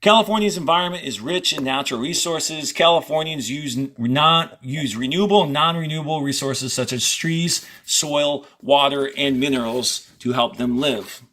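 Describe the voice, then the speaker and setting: A man who teaches fourth grade, reading a textbook aloud with deliberate emphasis on key words and short instructional asides. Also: a little high, a bit bright.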